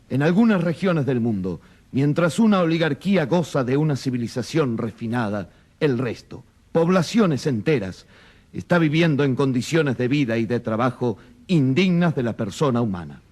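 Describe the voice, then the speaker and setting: A man's voice: mid-range voice, expressive, moderate pace, darkish tone, lightly acted. A male actor gives voice to a pope in a radio drama. The voice sits in a middle register, grave and declamatory, as if reciting a formal written testimony. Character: grave papal voice reading out a testimony. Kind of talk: scripted recitation.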